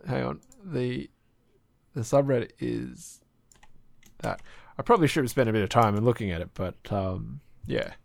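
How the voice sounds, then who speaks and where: Hoarse man with a gravelly voice. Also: medium pitch, big pitch swings, normal speed, no echo. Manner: chatty, rambling with fillers. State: hoarse, sore throat. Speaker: podcast co-host. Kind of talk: casual podcast chat.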